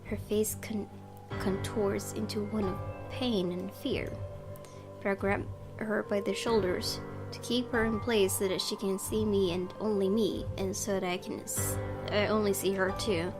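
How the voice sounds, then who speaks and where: A woman's voice: mid-range voice, fairly even intonation, a little slow. A woman gaming host, reading a visual novel aloud expressively and adding her own casual commentary. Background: game music.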